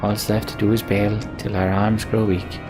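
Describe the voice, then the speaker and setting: A man's voice: fairly low pitch, little pitch movement, moderate pace. A man commentating on a video game, reading its text aloud and adding dry, resigned asides, with music behind him. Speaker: let's play host.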